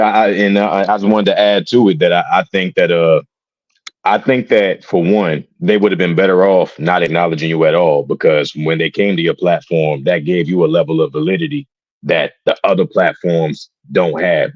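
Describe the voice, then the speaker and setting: A very deep-voiced man talking on an online show. He often hesitates and restarts as he builds his points. His audio is dull and lo-fi, with no room echo at all. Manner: halting, with many restarts and fillers. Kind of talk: casual talk show discussion. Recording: dry, dull lo-fi mic.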